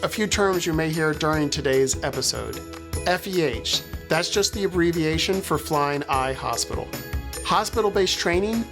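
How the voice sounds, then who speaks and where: A man hosting a podcast, reading a scripted introduction and then interviewing a guest over a video call. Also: fairly high pitch. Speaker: podcast host.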